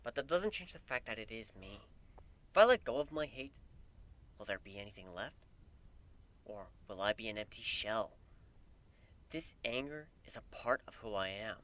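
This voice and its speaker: A man's voice: lowish voice, lively intonation, normal speed, pauses often, a bit bright. A man voicing an angry, weary male griffin with an intense, emphatic delivery in a dramatic story reading. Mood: defiant, bitter. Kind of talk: dramatic fan fiction reading.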